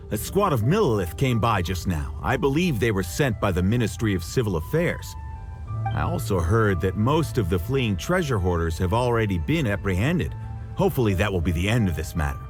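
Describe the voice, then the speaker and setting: A man voicing a calm, dutiful guard captain in a game cutscene, courteous and reassuring. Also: lowish voice.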